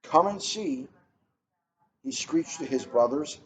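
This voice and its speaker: A middle-aged man with unhurried, deliberate phrasing, chatting casually and reading a storybook aloud. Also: mid-range voice. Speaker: police officer reading a picture book aloud. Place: coffee shop, indoors. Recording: muffled, noisy room recording.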